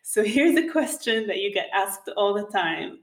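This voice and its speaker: A woman's voice: medium pitch, lively intonation, normal speed, reverberant, rough recording. A woman hosting an interview podcast, reading a polished introduction and then asking questions conversationally. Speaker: podcast host.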